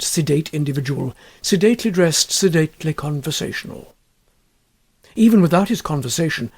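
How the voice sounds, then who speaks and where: Husky male storyteller with lively intonation. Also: on the high side. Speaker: audiobook reader. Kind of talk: scripted literary narration of a comic short story.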